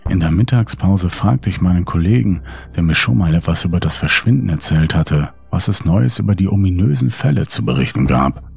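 Low, gravelly man narrating horror. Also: expressive, brisk, few pauses, a little mellow, dry recording, soft background music. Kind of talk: scripted first-person horror narration, audiobook reading.

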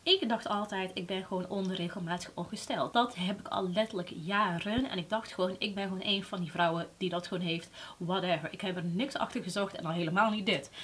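Candid young woman telling her story. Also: medium pitch. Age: late 20s. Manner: candid, conversational, quickens when recounting thoughts, drops softly on grave facts. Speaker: beauty vlogger. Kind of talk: personal story told to camera. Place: indoor room before a plain backdrop.

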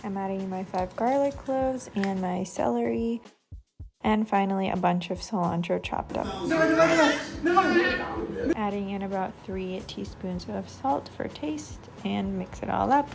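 A woman's voice: medium pitch, unhurried, creaky, very noisy. A young woman narrating her cooking in a casual, matter-of-fact way, explaining each step as she goes. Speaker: lifestyle vlogger. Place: home kitchen.

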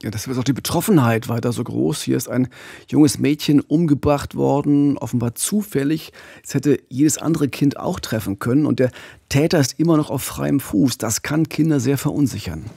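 A man's voice: mid-range voice, moderate pace, vocal fry, warm tone, slightly rough, dry recording. A man in his forties hosting a true-crime podcast, narrating conversationally into a studio microphone. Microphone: studio condenser mic with pop filter.

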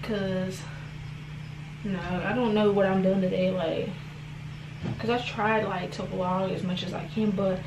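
A woman's voice: medium pitch, little pitch movement, a little slow, long pauses, muffled recording. A young woman talking casually to her camera at home as a vlogger, chatty and rambling, often calling her viewers "y'all". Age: young adult. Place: home room indoors, bathroom later. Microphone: phone or camera propped low, close. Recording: echoey room with noise.

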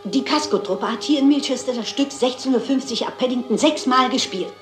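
An actress playing a shrewd, brisk elderly lady detective in a German crime radio play, polite and assured. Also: high voice, reverberant, soft background music, lightly acted. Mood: brisk, determined.

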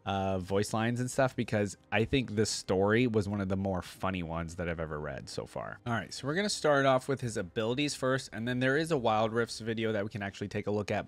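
A young man giving casual reaction commentary, thinking aloud informally and at times reading text out, close on a desk microphone. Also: on the low side. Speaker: reaction video host. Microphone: close desk microphone on arm.

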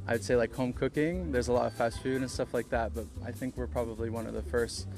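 A man in his thirties explaining his bakery in an easygoing way, with fillers. He speaks into a reporter's handheld mic outdoors. A music bed is clearly audible underneath. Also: medium pitch, steady tone, fast. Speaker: bakery co-owner. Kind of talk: live TV interview.

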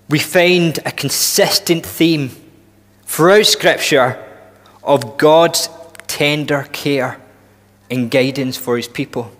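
A man in his thirties preaching a sermon in a church hall on a headset mic, earnest and deliberate, often restarting and building emphasis. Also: on the high side, fairly bright tone. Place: church hall with long reverb.

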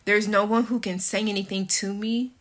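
A woman's voice: medium pitch, fairly even intonation, moderate pace, a bit bright. A young woman with a speech impediment telling a story to her phone camera at home, blunt and candid, cursing casually. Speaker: vlogger. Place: kitchen and living room at home, indoors. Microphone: phone camera held close.

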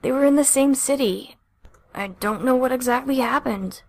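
A woman's voice: sits high, normal speed, no room sound. A woman voicing a worried, earnest young newcomer to a superhero team in an audiobook.

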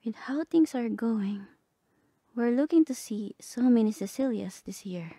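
A woman playing a gentle, lovestruck bard companion in a romantic ASMR roleplay, speaking softly and tenderly, often half whispering. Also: fairly high pitch, expressive, measured pace, no room sound, studio quality. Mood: pleased, tender. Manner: tender, hesitant, polite.

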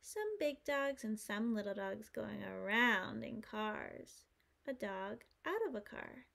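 A woman in her thirties reading aloud to young children as a preschool teacher, phrasing simply and clearly. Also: mid-range voice. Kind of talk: children's storytime read-aloud.

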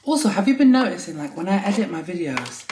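Brief: deep-voiced woman in her twenties, gushing vlog chat, echoey room, camera set back. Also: big pitch swings, fast talker, a little mellow, recorded from a distance, a little hiss or hum. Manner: chatty, gushing, giggly. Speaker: vlogger. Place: home bathroom at night, then a bright kitchen. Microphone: camera on a low stand.

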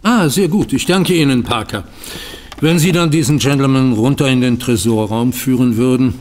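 Actor as a formal banker, reverberant room. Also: male voice, mid-range voice, moderate pace, darkish tone, lightly acted. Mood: polite, grateful. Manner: formal, flowery, courteous. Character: polite, anxious male banker in financial ruin. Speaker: actor in an audio drama. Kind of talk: German radio play acting.

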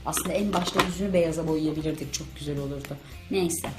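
A woman's voice: sits low, quick pace, roomy sound, over loud music. A woman hosting a video, chatting casually and playfully, with exclamations during a makeup challenge. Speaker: video host.

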